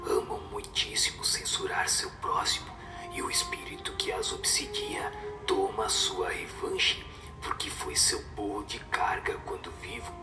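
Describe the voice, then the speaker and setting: A man voicing a stern superior spirit in a breathy whisper, giving solemn, severe moral counsel in an audio drama. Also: on the low side, little pitch movement, measured pace, roomy sound, music in the background, pitched below the performer's own voice, natural voice. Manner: solemn, admonishing. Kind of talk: Portuguese-language audio drama of a spiritist book.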